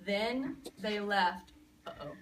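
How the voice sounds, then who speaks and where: A woman reading a picture book aloud to a young child in an expressive, dramatic way, pausing to chat and ask questions. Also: mid-range voice, far from the mic, slight background noise. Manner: expressive, with dramatic gasps and emphasis.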